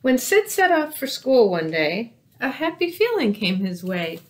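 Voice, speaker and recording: A woman in her forties reading a children's storybook aloud in a careful, expressive storytelling manner. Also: fairly high pitch, unhurried. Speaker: storybook reader. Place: small room indoors by windows.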